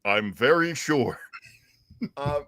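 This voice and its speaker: A man hosting a radio show, telling stories in a casual, animated and deliberate way with emphatic repetition. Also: medium pitch, smooth, no room sound, studio quality. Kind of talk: casual storytelling talk show.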